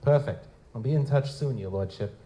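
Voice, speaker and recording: A man's voice: mid-range voice, normal speed, mellow, close to an everyday voice, poor audio. A man playing a wry, streetwise goblin-like private investigator in a noir audio drama, speaking in casual slang. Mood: brisk, businesslike. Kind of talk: live audio drama dialogue and first-person narration. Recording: noticeable background noise.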